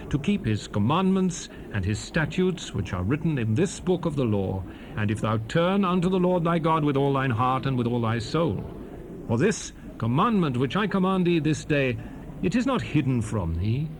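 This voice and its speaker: A man's voice: fairly high pitch, big pitch swings, normal speed. An actor playing a stern, fervent prophet exhorting his people in a grave, declamatory dramatized scripture reading. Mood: earnest, exhorting. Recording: noisy 1970s cassette rip.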